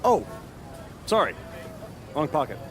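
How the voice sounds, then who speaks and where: An actor playing a goofy, eager and oblivious young wrestler sidekick in a video game, earnest and hammy. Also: male voice, fairly high pitch, big pitch swings, slow pace, music in the background. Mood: eager, sheepish. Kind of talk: video game cutscene comedy acting. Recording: noisy arena with crowd behind.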